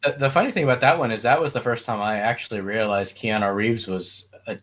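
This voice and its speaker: A man's voice: fairly low pitch, quick pace, fairly bright tone. A man chatting casually and informally about films on a panel, as one of its hosts.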